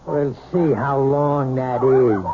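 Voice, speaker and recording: An actor playing an overbearing, curt husband in an old radio drama. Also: male voice, mid-range voice, steady tone, slow pace, music in the background. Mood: domineering. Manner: curt, domineering. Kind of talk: 1970s radio drama acting. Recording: dull old radio broadcast with faint hiss.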